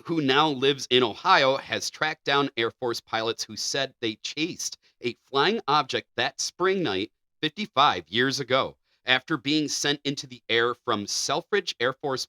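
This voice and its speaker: Man, bright forceful voice, podcast co-host, casual article reading, dry close recording. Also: mid-range voice, expressive, measured pace, hardly any pauses, no echo. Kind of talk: casual chat and reading news articles aloud.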